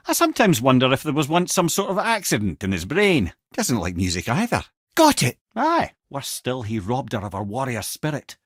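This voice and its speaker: A man's voice: mid-range voice, husky, dry recording, clean studio sound. A man voicing a witty, worldly old sage for a video game, telling tales with a Scottish accent in an eloquent, avuncular way. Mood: wry, conversational, then encouraging. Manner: wry, eloquent, avuncular, expressive. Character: witty old severed talking head, worldly storyteller sage. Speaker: companion and storyteller. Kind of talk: video game voice acting, storytelling.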